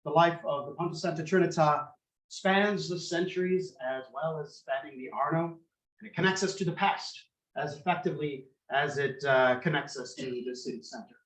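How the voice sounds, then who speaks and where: A man with a loud voice giving a public lecture, conversational and precise, speaking into a handheld microphone. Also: a little high, fairly even intonation, moderate pace. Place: lecture room. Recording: echoey room.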